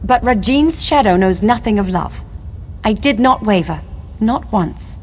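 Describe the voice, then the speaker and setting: An actress playing a long-imprisoned elven wife in a fantasy game, speaking formally and solemnly, with bitterness and longing. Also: female voice, mid-range voice, animated, a little slow, darkish tone, a little hiss or hum, natural voice. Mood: bitter. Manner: formal, archaic, solemn phrasing. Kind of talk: scripted fantasy game dialogue.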